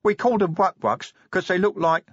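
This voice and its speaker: A man's voice: sits high, animated, husky, no echo, pitched above the performer's own voice. A man voicing a dim, scrawny, drug-addled young male troll who talks in a simple, eager way, in a dry, slightly dull recording. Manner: simple, eager, slow-witted.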